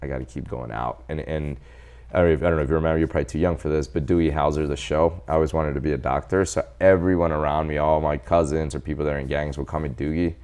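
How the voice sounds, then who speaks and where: A man in his forties from El Salvador, a doctor telling his life story as a guest in a casual interview, animated and quoting people as he goes. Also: rumbling low, fast talker, clear, resonant tone. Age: 40s.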